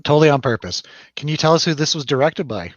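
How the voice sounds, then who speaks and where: A man's voice: fairly high pitch, animated, quick pace, no room sound, lo-fi sound. A man hosting a casual film review chat, rambling and jokey, putting questions to his co-host. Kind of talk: casual chatty film discussion over drinks.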